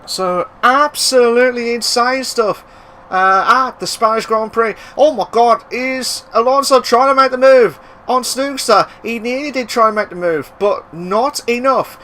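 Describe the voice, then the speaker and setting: A man giving live racing commentary, excitable and bursting into loud exclamations at the action. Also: extremely high pitch, unhurried. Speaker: race commentator.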